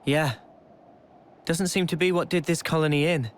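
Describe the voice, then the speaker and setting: An actor playing an earnest, thoughtful young soldier in a video game cutscene. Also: male voice, on the high side, steady tone, moderate pace, lightly acted. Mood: calm.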